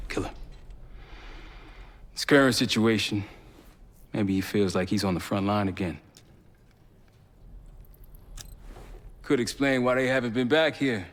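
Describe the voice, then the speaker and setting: An actor playing a steady, pragmatic intelligence operative in a video game, an American man talking frankly and colloquially. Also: fairly low pitch, close to an everyday voice. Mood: somber. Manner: laid-back, frank, colloquial. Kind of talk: video game dialogue acting. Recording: long reverberant room echo.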